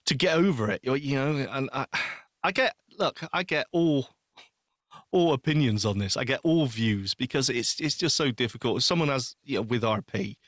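A man with a medium-pitched voice speaks in a very dry recording. He is a podcast co-host in personal, informal conversation, thinking aloud in halting phrases and repeating words. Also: animated, fairly bright tone, no echo. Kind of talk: casual personal chat.

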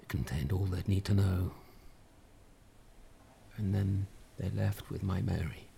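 A man with a British accent playing a weary, exasperated husband in a dramatic monologue, with a husky, gravelly voice. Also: sits low, steady tone, a little slow, warm tone, slight background noise, full character voice. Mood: bleak, grieving. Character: weary, exasperated, grieving husband telling his tragic story. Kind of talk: dramatic first-person monologue.

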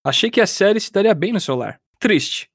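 A man's voice: high voice, animated, a little fast, a bit bright, husky, no echo, studio quality. A man, co-hosting a casual game podcast and reading listener comments, laid-back and laughing often.

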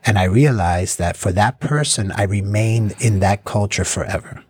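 A man's voice: on the low side, normal speed, a little mellow, slightly rough, dry recording, studio quality. A middle-aged man from the United States, thoughtfully reflecting in an interview. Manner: thoughtful, reflective, with small restarts. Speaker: cross-cultural mediator. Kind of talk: interview answers.

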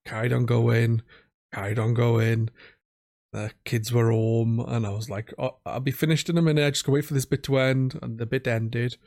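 A man's voice: medium pitch, flat delivery, moderate pace, creaky, darkish tone. A man chatting casually as a podcast host about video games, informal and self-deprecating.

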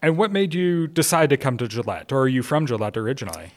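A man hosting a local interview podcast, asking relaxed, informal questions. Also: medium pitch, fast. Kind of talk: casual interview.

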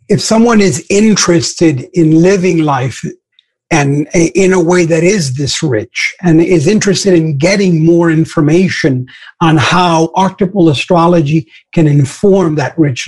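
Husky, higher-pitched man hosting. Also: unhurried, a little mellow. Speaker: host, interviewer. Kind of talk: formal interview questioning.

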